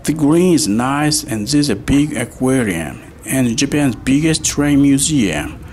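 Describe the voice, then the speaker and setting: A man with an average-pitched voice narrates in the manner of a travel guide. He presents as a vlog host, and there is some light ambient noise behind his voice. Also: expressive, slow pace, a little mellow.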